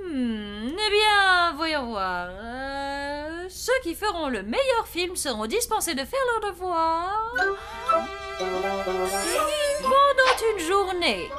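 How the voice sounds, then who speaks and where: A woman's voice: very high voice, big pitch swings, slow pace, music in the background, full character voice. A woman voicing a flustered young schoolteacher, patient but exasperated.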